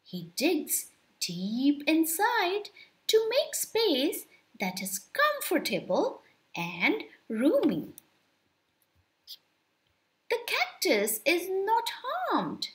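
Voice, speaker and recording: Woman reading a kids book out loud, super slow, voice goes up and down a lot. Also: extremely high pitch. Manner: deliberate, animated storytelling. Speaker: storyteller, channel host. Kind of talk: expressive children's read-aloud.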